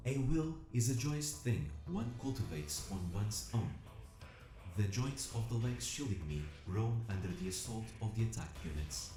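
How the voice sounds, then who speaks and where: A man playing an earnest, solemn combat robot who longs for freedom, narrating an audio drama in measured, formal, reflective phrasing. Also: on the low side, mellow. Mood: resolute. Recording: echoing voice over music. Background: music.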